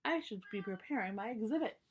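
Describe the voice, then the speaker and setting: A woman's voice: mid-range voice, expressive, quick pace, a little hiss or hum, natural voice. A woman reads as a cheerful mother bear from a children's picture book. She is easygoing and happily wrapped up in her own hobbies, speaking to her family in a breezy way. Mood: agreeable, breezy. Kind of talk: children's storybook read-aloud.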